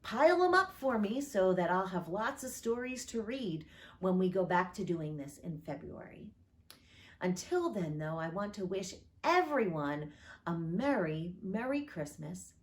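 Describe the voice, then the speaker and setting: Slow, expressive woman pastor reading aloud. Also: medium pitch. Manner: expressive, lilting read-aloud delivery; friendly direct address to listeners.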